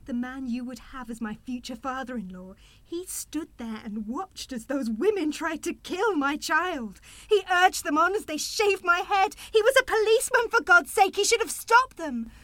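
A woman's voice: high-pitched, expressive, normal speed, hardly any pauses, pushed and bright, dry recording. An actress in a radio play playing a bitter, fiercely protective young mother, indignant and cutting in her delivery. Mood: indignant, accusing.

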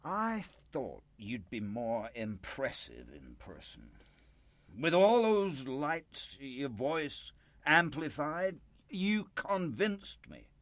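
A man's voice: medium pitch, huge pitch swings, slow pace, a bit bright, no echo, heavily acted. An actor in a radio play playing a bitter, self-pitying older man, folksy and sardonic. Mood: accusing, angry. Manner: folksy, sardonic, swinging from apologetic to accusing.

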